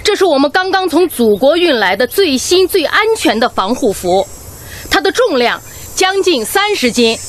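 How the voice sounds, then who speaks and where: A woman's voice: sits high, sing-song, moderate pace, slight background noise. A woman acting an earnest medical trainer briefing her team in a Chinese radio drama. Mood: earnest, instructive.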